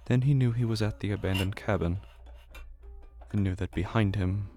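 A man giving a scripted, dramatic narration of a horror story in a deliberate, intense manner. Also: lowish voice, dark tone, soft background music. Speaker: narrator of a horror fiction podcast.

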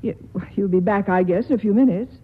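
An actress playing a wealthy, dignified woman with a sharp, wounded manner in a 1940s radio play. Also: mid-range voice, fairly quick, husky, in a large hall, natural voice. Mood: flustered. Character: rich proud adult woman, jealous. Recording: tinny old radio broadcast.

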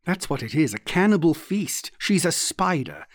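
A man's voice: on the high side, very animated, moderate pace, no room sound, studio quality. A man voicing an intense, haunted young man who speaks earnestly and insistently in an audiobook story. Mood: brooding. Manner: earnest, insistent, guttural at times.

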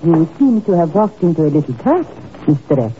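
A haughty, cold crime boss in a 1950s radio play, with a theatrical, widely swooping delivery. The recording is dull and faintly noisy. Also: female voice, sits low, very animated, a little fast. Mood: cold, mocking. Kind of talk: 1950s radio drama acting.

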